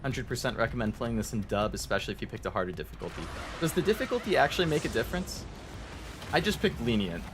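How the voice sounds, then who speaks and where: A man's voice: mid-range voice, expressive, fast talker, background noise. A man giving casual, conversational commentary as he streams a video game, musing as he goes. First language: English. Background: game music and dialogue.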